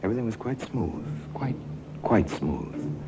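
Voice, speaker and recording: A man's voice: on the low side, sing-song, a little slow. A man narrating a 1950s radio crime drama in a ruminative, wry, insinuating manner, as a knowing storyteller. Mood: ominous, measured. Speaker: narrator and host of a crime anthology. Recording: old radio recording with music.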